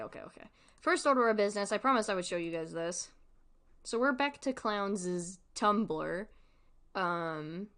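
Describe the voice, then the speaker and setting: A woman's voice: fairly low pitch, fairly bright tone. A woman streamer giving casual livestream commentary in an informal, rambling, giggly way.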